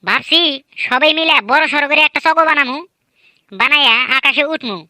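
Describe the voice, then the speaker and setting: Bright, high woman with a rural Bangla dialect. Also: a little fast, dry recording. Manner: lively, boastful, teasing village-kid banter. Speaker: cartoon voice artist and narrator. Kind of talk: comedic cartoon voice acting.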